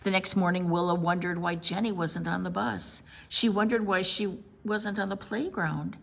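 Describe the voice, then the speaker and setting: A woman's voice: fairly low pitch, fairly even intonation, normal speed, vocal fry. A woman reading a picture book aloud expressively as a children's storytime presenter.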